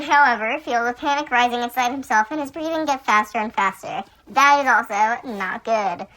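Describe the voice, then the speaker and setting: Bright, high-voiced sarcastic woman reading aloud. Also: rough recording. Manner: sarcastic, often stumbling over words and breaking into giggles. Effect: voice changer. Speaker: fan fiction reader. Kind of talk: casual read-aloud with mocking asides.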